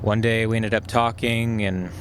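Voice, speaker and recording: An actor playing a sharp, methodical schemer who explains things conversationally in a naturalistic audio drama. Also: male voice, fairly low pitch, level tone, moderate pace, slight background noise, lightly acted. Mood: focused, conspiratorial. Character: sharp, methodical male schemer plotting revenge on a swindler.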